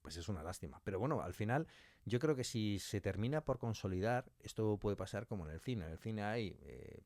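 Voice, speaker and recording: A man's voice: lowish voice, lively intonation, brisk, darkish tone, dry recording, clean studio sound. A middle-aged man with an accent from Spain, answering reflectively in a conversational interview, thinking aloud through examples. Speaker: interviewed audio fiction writer and director.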